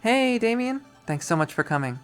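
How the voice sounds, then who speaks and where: A man's voice: very high voice, very animated, moderate pace, a bit bright, clear, resonant tone, faint music behind, pitched above the performer's own voice, full character voice. A man voicing a friendly young college woman in a visual novel, casual and chirpy. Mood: friendly, welcoming.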